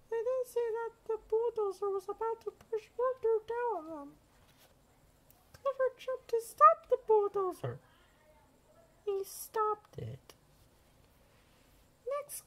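A woman reading a children's picture book aloud in an animated, expressive way for young listeners. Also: very high-pitched, low-quality recording. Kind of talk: animated storybook read-aloud.

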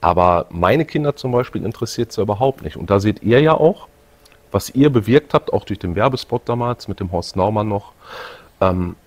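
A man's voice: fairly low pitch, expressive, moderate pace, darkish tone. A middle-aged man hosting a video, chatting casually to viewers and his guest. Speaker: video host. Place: indoor event room with collection displays.

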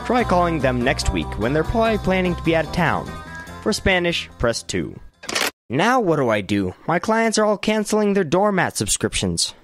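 An anxious young boy in a radio comedy, acted by a man. The voice is fairly high and very animated, melodramatic in delivery, with music clearly underneath. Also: moderate pace. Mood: worried, dejected. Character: anxious, dejected boy running a small business. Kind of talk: comedic radio theater acting.

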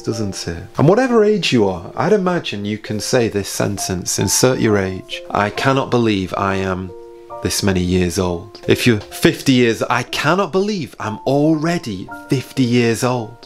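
A British man in his thirties preaching an evangelistic sermon in an earnest, pleading manner, with emphatic repetition and dramatic pauses. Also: mid-range voice. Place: small home room, indoors. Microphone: boom-arm microphone off to the side. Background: faint background music.

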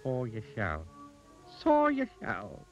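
A man's voice: mid-range voice, huge pitch swings, slow, dull audio, faint music behind. A man voicing a cheeky, mischievous goblin in a children's storybook, with a playful, taunting manner. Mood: teasing, gleeful. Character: cheeky, mischievous male goblin, grinning and teasing.

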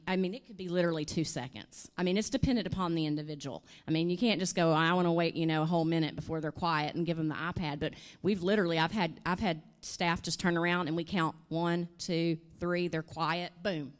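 A woman, speaking through a handheld mic in a hotel conference room. She talks in an animated, chatty way, full of "you know" and "I mean". Her voice sits a little low. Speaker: conference presenter. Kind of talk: workshop talk with audience questions. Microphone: handheld microphone.

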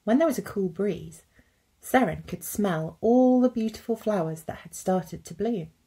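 Casting: female teacher, thirties, children's story narrator, mid-pitched, husky, deliberate phrasing. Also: animated, warm tone, low-quality recording. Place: indoors at a computer.

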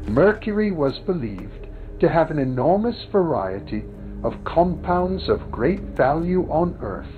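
A man narrates a documentary in formal, scripted phrases, his fairly high voice rising and falling a lot. The sound is narrow and tinny like a phone line, with music underneath. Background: background music.

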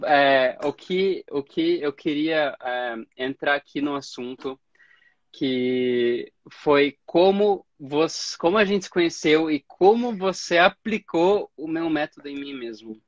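A man in his thirties hosts a relaxed video-call interview, chatty and quick to laugh as he tells a story. His voice sits a touch high, and the call audio is dry and slightly dull. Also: no room sound. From Brazil, Campinas. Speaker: host. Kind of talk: casual interview chat. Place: small room at home. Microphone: video call webcam.

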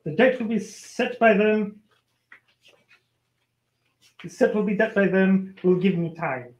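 A man playing a scheming, vengeful royal official in an old-time radio show, theatrical and halting. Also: high voice. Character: vengeful scheming male royal official, imperious, obsequious to the king.

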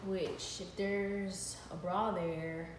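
A woman's voice: on the low side, little pitch movement, drawn-out words, distant mic. A young woman chatting playfully through a clothing haul in her living room, with casual slang. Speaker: vlogger. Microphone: built-in camera mic from across the room. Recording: echoey room, voice off-mic, ambient noise.

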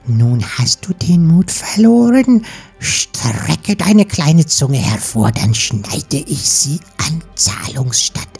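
A woman playing a sinister, scheming sea witch in a fairy-tale audiobook, with a hoarse, breathy whisper and slow, ominous delivery. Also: sits low, sing-song, a little mellow, soft background music, full character voice. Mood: gloating, menacing.

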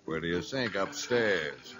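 Smug, oily saloon boss. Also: male voice, low-pitched, lively intonation, a little slow, over music. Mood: smug, mocking. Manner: oily, sarcastic. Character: smug, mocking frontier saloon owner. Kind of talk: 1940s-50s radio western acting.

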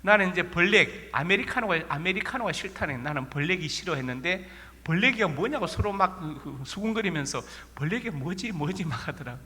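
A male pastor with a Korean regional accent preaching from the pulpit, his voice bright and forceful, pitched a little high. He tells homely stories and keeps firing rhetorical questions at the congregation. Each line rings out with the long reverb of a church sanctuary. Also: expressive, fairly quick, few pauses. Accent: Korean regional dialect. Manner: folksy storytelling with rhetorical questions. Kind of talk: sermon.

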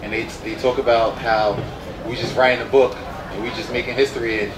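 A man's voice: mid-range voice, fairly even intonation, a little fast. A man in his early twenties, a college football player answering at a press conference, repeating phrases for emphasis. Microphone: handheld microphone. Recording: roomy, distant mic, noisy background.

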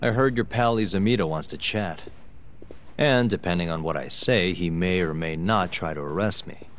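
An actor playing a cool, cynical young private investigator in a video game, with a laid-back, wry delivery. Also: male voice, low-pitched, normal speed, a bit bright, slight background noise. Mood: sardonic. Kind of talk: English game voice acting.

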